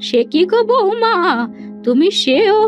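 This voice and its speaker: A woman's voice: extremely high pitch, very animated, slow, soft background music, full character voice. A woman voicing an exasperated village mother-in-law in a cartoon. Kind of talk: cartoon character acting.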